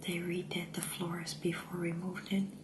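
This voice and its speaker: Woman, ASMR whisper, getting a cold, unhurried, binaural mic, echoey room. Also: lowish voice, completely flat delivery, noisy background. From Netherlands. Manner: slow, soft whisper, describing what she does. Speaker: ASMR creator. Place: home room with glossy floor.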